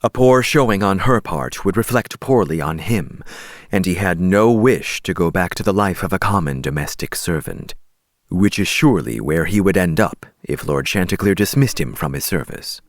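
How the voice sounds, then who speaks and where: A man narrating a fantasy audiobook in a measured, deliberate storytelling manner, reading scripted prose with drama. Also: sits low, lively intonation, no room sound, studio quality.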